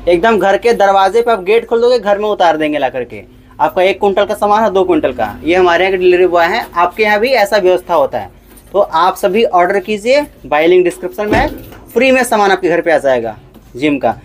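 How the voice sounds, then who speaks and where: A man's voice: high voice, lively intonation, a little fast. A man hosting a casual unboxing vlog, chatting with viewers, calling them brother and repeating his points for emphasis. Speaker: vlogger.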